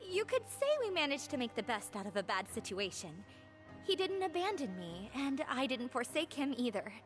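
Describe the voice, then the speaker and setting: High lively female voice, polite hopeful young prisoner, sweet, courteous, game music underneath. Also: moderate pace. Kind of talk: video game voice acting.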